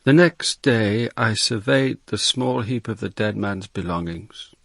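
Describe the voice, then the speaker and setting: An actor playing a world-weary, middle-aged police inspector, narrating his case in a measured, dry, reflective radio drama style. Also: fairly low pitch, vocal fry, a bit bright, no room sound, exaggerated voice. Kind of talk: radio drama, first-person detective narration.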